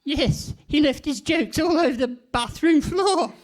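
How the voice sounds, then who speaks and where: A woman's voice: high voice, measured pace. A woman playing a dotty, fluttery old spinster in a theatrical comic radio play. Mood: giggly, dotty. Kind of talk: Goon Show comic radio theatre acting. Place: live performance in a hall.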